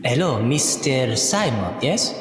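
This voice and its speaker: A game master voicing a small, legless, polite mystic man who speaks in halting, formal, broken phrases. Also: medium pitch, sing-song, reverberant, long reverb tail, faint music behind. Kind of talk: tabletop roleplay character voice.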